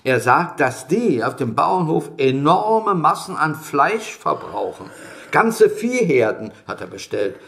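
An actor playing a measured, well-informed townsman sharing gossip in an audio drama. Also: on the high side, sing-song, creaky, a bit bright, exaggerated voice. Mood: knowing. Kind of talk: German audio drama acting.

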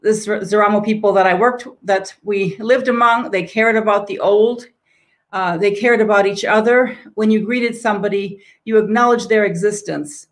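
A woman's voice: mid-range voice, steady tone, moderate pace. Brief: woman in her sixties, academic guest on a video call, thoughtful and narrative. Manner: reflective, storytelling. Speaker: guest scholar. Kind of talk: interview answer.

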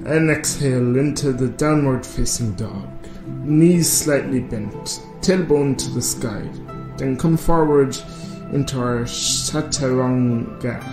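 A man playing a smug male yoga instructor in a radio drama. He guides the class in a measured, serene way that is thinly passive-aggressive and judgmental. His voice rings in a roomy space with music underneath. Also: mid-range voice, close to an everyday voice. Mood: instructing. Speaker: yoga teacher. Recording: roomy echo, music behind.

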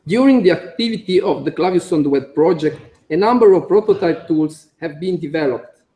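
Italian-accented man, engineer at a podium, slightly high and mellow, halting delivery read off notes. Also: animated. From Italy. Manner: careful, halting, with frequent "uh" fillers and small slips. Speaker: conference speaker, computer engineer. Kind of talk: conference talk read from notes. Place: conference room.

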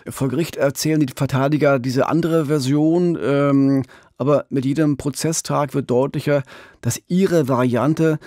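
A middle-aged man talks as a true-crime podcast host. His voice sits at an average pitch, recorded close and dry in a small radio studio. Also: steady tone, moderate pace, dark tone, dry recording. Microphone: close studio microphone with pop filter.

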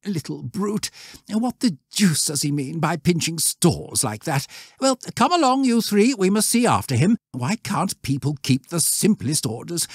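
A man voicing an irritable, hurried adult scoutmaster scolding and giving orders. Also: sits high, dry recording, clean studio sound, voice pushed up, full character voice. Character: exasperated, brisk male scoutmaster.

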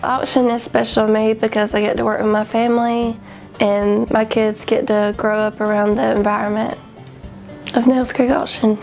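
A woman's voice: on the high side, flat delivery, normal speed, gravelly, a little mellow. A woman talking about family work in a documentary interview. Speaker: family auction worker. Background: faint music.

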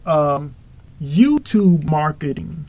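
A man's voice: on the high side, big pitch swings, very slow, darkish tone. A man preaching informally on his own podcast, rambling and correcting himself as he goes. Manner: rambling, self-correcting, earnest. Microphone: home podcast microphone.

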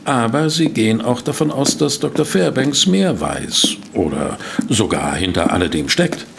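An actor playing a detective professor's inquisitive sidekick in a German audio drama, polite and questioning. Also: male voice, medium pitch, very animated, moderate pace, vocal fry, slight background noise. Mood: curious. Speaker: investigator's companion and narrator.

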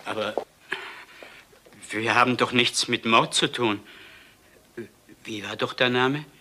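A male suspect on the defensive in a radio crime drama. He speaks slowly and hesitantly in a very tense, bright voice of middling pitch. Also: steady tone.

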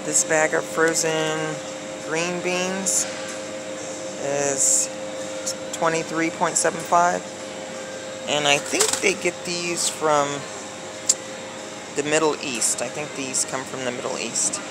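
A woman's voice: low-pitched, fairly even intonation, slow pace, long pauses. A middle-aged American woman chatting casually and thinking aloud as she records a shopping vlog on a handheld phone. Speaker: vlogger. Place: supermarket aisles with humming coolers. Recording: noisy background hum, handheld phone.

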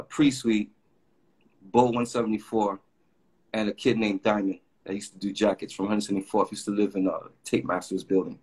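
A man's voice: fairly low pitch, breathy edge, low-quality recording. A middle-aged man from Puerto Rico, chatting on a video call and telling long rambling stories full of fillers. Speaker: graffiti artist guest. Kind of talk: casual storytelling interview. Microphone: video call webcam.

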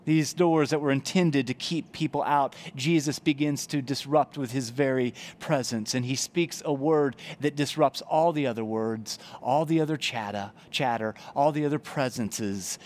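A man's voice: mid-range voice, moderate pace, hardly any pauses, darkish tone. A man in his forties, a pastor, preaching an earnest sermon in a church chapel on a headset mic. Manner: earnest, gestures broadly while preaching. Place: church chapel, indoors, before brick wall.

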